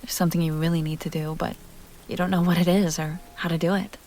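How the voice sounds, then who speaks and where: A woman's voice: fairly low pitch, level tone, fast, darkish tone, lightly acted. A woman playing a self-assured, playful vampire heiress in an intimate roleplay audio drama, talking directly to the listener. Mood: pensive.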